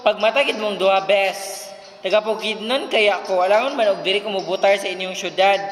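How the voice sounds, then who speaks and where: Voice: medium pitch, fairly quick, lightly acted. A performer playing a young provincial student, casual and playfully exasperated with friends, in an amateur radio drama recorded in an echoey room.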